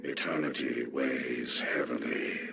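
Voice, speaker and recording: An abyssally deep, creaking ancient specter. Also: male voice, steady tone, slow pace, heavy background noise, full character voice. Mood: weary, ominous. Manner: grave, ponderous, archaic phrasing. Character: ancient, weary, monstrous male guardian specter.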